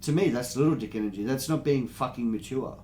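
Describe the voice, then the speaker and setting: A man's voice: medium pitch, fairly quick, darkish tone. Blunt Australian man over background noise. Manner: blunt, sweary, opinionated. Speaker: podcast co-host. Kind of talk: casual banter between mates.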